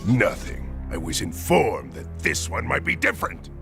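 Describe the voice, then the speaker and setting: An actor playing a ruthless older tyrant in a video game cutscene, with a gravelly, commanding voice. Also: male voice, lowish voice, huge pitch swings, moderate pace, music in the background, exaggerated voice. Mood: cold, furious. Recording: cutscene with loud score.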